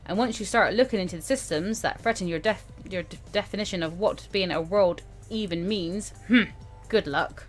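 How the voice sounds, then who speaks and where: A woman reads the part of an experienced male mentor in a story game. He lectures drily, with a sardonic, irritable edge, in a medium-pitched voice. Music plays behind. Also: normal speed, hardly any pauses, natural voice. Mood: lecturing. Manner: dry, lecturing.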